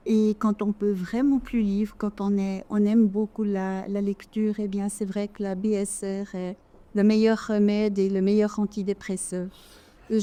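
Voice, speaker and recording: A woman speaks off the cuff from the audience at a literary gathering. Her voice is soft-edged and mellow, at an average pitch, and she hesitates and repeats words while building her thoughts. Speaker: audience member, volunteer reader for audio library. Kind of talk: impromptu audience remarks at a literary event. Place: live literary café event.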